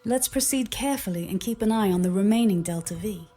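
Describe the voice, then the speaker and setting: A woman's voice: mid-range voice, fast, warm tone. A synthetic woman's voice as an AI spaceflight copilot, giving formal, composed and methodical mission guidance. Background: background music.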